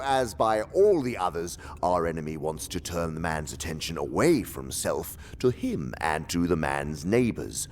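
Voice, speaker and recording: An actor playing a haughty, scheming senior demon who lectures in a pompous, theatrical, condescending way, in a radio drama. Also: male voice, slight background noise, exaggerated voice. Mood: grave, menacing. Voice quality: very deep, gravelly.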